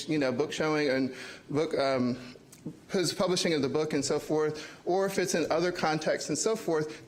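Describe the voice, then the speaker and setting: Higher-voiced academic man giving a panel talk. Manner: measured, hedging with "sort of" and "you know".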